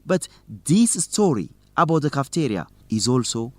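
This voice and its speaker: An actor playing a principled, stubborn young student journalist in an educational radio drama, speaking expressively and clearly. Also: male voice, medium pitch, sing-song, normal speed, darkish tone, no room sound, lightly acted. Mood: firm, defiant.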